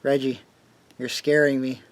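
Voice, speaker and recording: A man's voice: mid-range voice, little pitch movement, measured pace, lightly acted. A man playing an earnest, uneasy teenage boy, a conscientious friend, in a radio drama.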